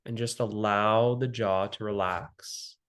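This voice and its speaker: A man leading a guided meditation as the guide, speaking gently and soothingly with long pauses between phrases. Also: lowish voice, fairly even intonation, clean tone.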